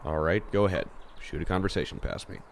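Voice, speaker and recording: A man acting a level-headed, popular teenage schoolboy and reluctant hero in an amateur audio drama, calm, dry and reasoning. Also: low-pitched, fast talker, gravelly.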